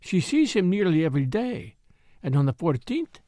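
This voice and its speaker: A man's voice: high-pitched, sing-song, moderate pace, warm tone, no echo, clean studio sound. A man reading a storybook, voicing a scheming, teasing Parisian woman dancer with a French accent and a purring, insinuating manner. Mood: knowing, gossiping.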